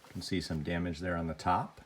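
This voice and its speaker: A man with a cold, his voice deeper than usual, chatting casually as he unboxes toys and grades each one. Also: level tone, a little fast, slight background noise. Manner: plain, chatty, grading each item out loud. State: has a cold. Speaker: toy unboxing vlogger.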